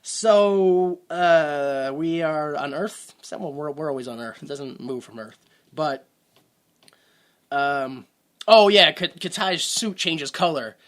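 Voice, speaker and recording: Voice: medium pitch, animated, takes it slowly. A podcast co-host in casual movie-review chat, rambling and sarcastic, often breaking off mid-sentence. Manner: rambling, self-interrupting, sarcastic.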